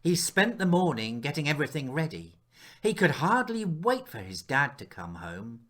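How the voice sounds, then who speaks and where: A man narrating a children's picture book as its author, telling it expressively with dramatic pauses and hushed moments. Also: fairly high pitch, big pitch swings. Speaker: author reading his picture book aloud.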